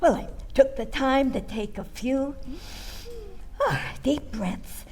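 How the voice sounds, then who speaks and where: Long hall reverb, woman playing folksy female storyteller, slightly high voice, chatty, homespun, expressive, radio play. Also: slow, husky, exaggerated voice. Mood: folksy, cheerful. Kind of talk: theatrical first-person storytelling.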